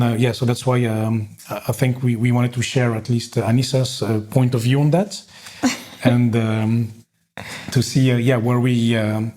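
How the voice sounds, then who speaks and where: A man's voice: mid-range voice, dark tone. A man hosting a podcast, who talks in a hesitant way with many fillers while he introduces the topic and interviews his guest. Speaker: podcast host. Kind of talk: casual interview conversation.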